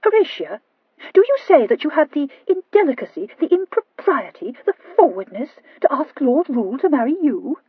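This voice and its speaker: A woman voicing an excitable, scolding young woman who exclaims and reproaches. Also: high-pitched, normal speed, no room sound, narrow, tinny audio, pitched above the performer's own voice. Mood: indignant.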